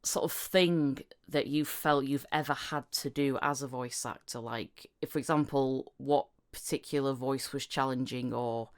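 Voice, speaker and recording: A woman's voice: a bass voice, steady tone, measured pace, few pauses. A woman hosting a podcast interview in a casual way, often laughing and restarting her questions as she goes. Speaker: podcast host.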